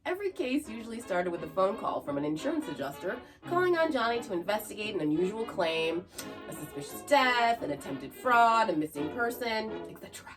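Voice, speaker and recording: A woman hosting a podcast, reading scripted narration with chatty asides. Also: fairly high pitch. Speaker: podcast host. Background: music.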